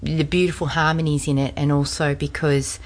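A woman with a very low voice speaks warmly and appreciatively, sharing with the group. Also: level tone, moderate pace. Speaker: group member. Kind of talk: sharing in a women's circle.

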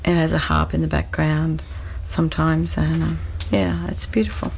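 A woman sharing slowly and hesitantly in a women's circle. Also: sits low, animated, creaky, darkish tone, slight background noise. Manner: slow, hesitant. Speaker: group member.

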